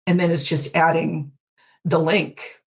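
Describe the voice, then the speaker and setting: A woman's voice: sits low, level tone, measured pace, gravelly. A woman speaking as a podcast guest in a casual, conversational interview style. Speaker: podcast guest, fanfiction audio narrator.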